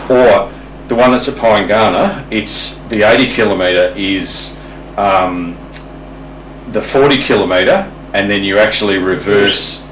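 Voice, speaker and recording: A deep-voiced man explains things in a casual, halting way, often repeating a word. He sounds some distance from the mic in an echoing room with faint noise. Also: a bit bright. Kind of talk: informal explanatory talk.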